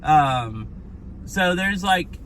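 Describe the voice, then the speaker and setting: Hoarse young dude rambling on a phone stream outside, lots of "like", car noise around. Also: male voice, high-pitched, animated, extremely slow, fairly bright tone, low-quality recording. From Washington state. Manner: rambling, filler-heavy, with frequent "like". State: hoarse from lost voice. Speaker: IRL streamer. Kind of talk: casual live-stream chat. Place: outdoors by a flooded river.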